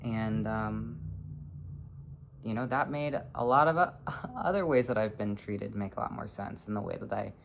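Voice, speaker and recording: A person in their twenties with a low voice, talking earnestly in a halting, reflective personal vlog. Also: vocal fry, a little hiss or hum. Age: 20s. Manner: earnest, halting, searching for words. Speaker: vlogger.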